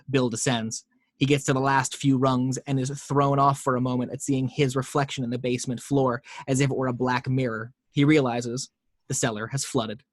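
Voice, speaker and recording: A man reads out screenplay directions as scripted narration. His voice sits at an average pitch, and the recording is dry with very little room in it. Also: dry recording. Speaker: reader of action descriptions in a table read.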